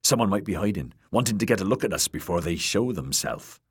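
A stern woman warrior with a firm, commanding voice, which a male narrator plays. She speaks in a steady, low tone in a storybook reading. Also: fairly quick, slightly rough, dry recording, studio quality, heavily acted.

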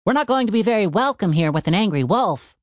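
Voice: mid-range voice, expressive, fast, no room sound, studio quality, voice pushed up, close to an everyday voice. A narrator voicing a worried adventuring companion who speaks urgently.

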